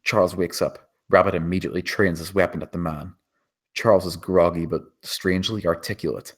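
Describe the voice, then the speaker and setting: Husky, low-voiced man narrating. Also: fairly even intonation, fairly quick. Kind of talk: scripted narration of screenplay action.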